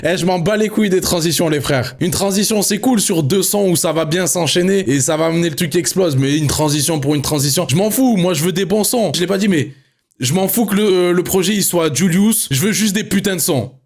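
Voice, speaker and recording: A man's voice: high-pitched, a little fast, gravelly. Foul-mouthed male streamer ranting to chat. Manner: swears a lot, addresses chat, jumps from musing to excited ranting; laughs often. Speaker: streamer reviewing an album live. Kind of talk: live reaction stream, casual chat to viewers.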